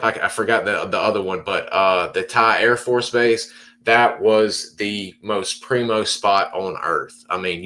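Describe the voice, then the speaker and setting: A man hosts a podcast with a somewhat low voice, circling around his questions with lots of halting starts. His manner is easygoing and conversational. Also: bright, forceful tone. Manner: rambling, halting, full of restarts and fillers. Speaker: podcast host. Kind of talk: casual interview hosting.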